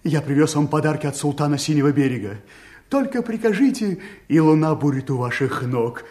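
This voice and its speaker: A highish, swooping male voice with echo around it, an actor playing a sultan's flattering servant in a fairy-tale play. He is courtly, florid and ingratiating in every phrase. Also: a little fast, lightly acted. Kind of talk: theatrical fairy-tale radio play acting.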